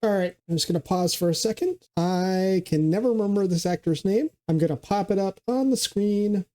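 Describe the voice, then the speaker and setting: Older guy reacting to a movie, mellow dark voice, swears a lot, jokes at the screen. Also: high-pitched, normal speed. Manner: joking, profane, talks back at the screen. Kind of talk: casual movie reaction commentary.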